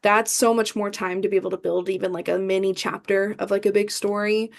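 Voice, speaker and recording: A woman's voice: mid-range voice, flat delivery, fairly quick, gravelly. A young woman from the American Midwest, answering interview questions in a chatty way, with many fillers and restarts. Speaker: idol performer, guest.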